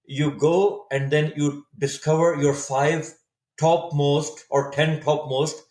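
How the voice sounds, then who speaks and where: A man in his fifties from Saudi Arabia, a native Urdu speaker, telling his story as a podcast guest, thoughtful and often pausing to restart his words. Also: fairly high pitch, little pitch movement, darkish tone. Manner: reflective, searching for words with frequent restarts. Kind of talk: casual interview storytelling. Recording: echoey room sound.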